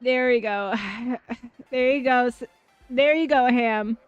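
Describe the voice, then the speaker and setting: Excitable high-voiced woman streamer. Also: slow pace, clear, resonant tone, no room sound. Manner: excitable, swears freely, chats with viewers. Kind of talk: casual livestream chat over gameplay. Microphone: dynamic mic. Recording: dull mic with faint game music.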